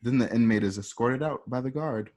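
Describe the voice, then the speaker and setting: A man with a medium-pitched voice serves as narrator for a radio drama. He reads out stage directions informally, walking the listener through each scene as a conversational storyteller. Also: a little fast, darkish tone.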